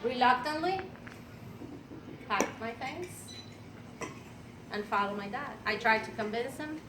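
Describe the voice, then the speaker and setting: A woman, telling her personal story at an event in an unhurried way with fillers and light laughs. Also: fairly high pitch, lively intonation, noisy background. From Venezuela. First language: Spanish. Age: 50s. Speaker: speaker at an event. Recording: clattering dishes and cutlery behind.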